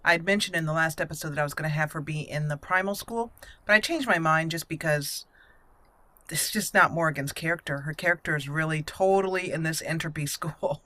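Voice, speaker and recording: A woman with a slightly low voice hosts a gameplay video. She comments casually and tells the story of her roleplay as she goes. She thinks aloud, hesitating between phrases. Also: a little fast, pushed and bright. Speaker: gameplay host.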